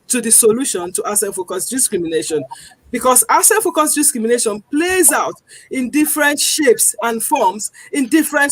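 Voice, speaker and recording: A woman's voice: fairly high pitch, no room sound. Earnest Nigerian woman, dry close sound. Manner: earnest, deliberate, frequent pauses with um. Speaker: guest. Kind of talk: panel discussion.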